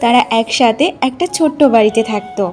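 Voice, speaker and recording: A woman's voice: fairly high pitch, fairly quick, loud music behind the voice. A woman reading scripted narration for a cartoon folk tale as the storyteller.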